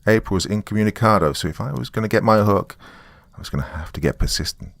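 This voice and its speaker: Man acting a deep-voiced, weary, haunted writer. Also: quick pace, gravelly, fairly bright tone, dry recording. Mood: wry, reminiscing. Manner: musing, wry, conversational asides. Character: weary, frightened male writer recording a confession on tape. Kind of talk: first-person horror story narration.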